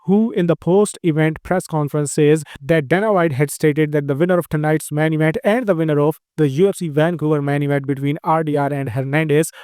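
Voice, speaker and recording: A man with an Indian accent runs through a fight news roundup in long, run-on sentences, dropping in "uh" fillers as he goes. His voice sits a bit high and comes across smooth and clear. The sound is dry and close, with no room echo. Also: a little mellow. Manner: rapid, run-on delivery with frequent "uh" fillers. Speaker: host. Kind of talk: combat sports news roundup.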